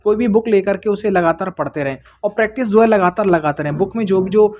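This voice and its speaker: An exam-prep presenter with a mid-pitched voice talks students through things in an informal explainer style. The delivery is didactic, with rhetorical check-in questions along the way. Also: fast, a little mellow, slight background noise.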